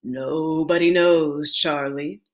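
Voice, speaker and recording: A woman's voice: sits low, fairly even intonation, takes it slowly, pitched below the performer's own voice. Woman playing an old grandfather spinning suspenseful tales. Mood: mysterious. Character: old grandfather, a gentle, mysterious storyteller.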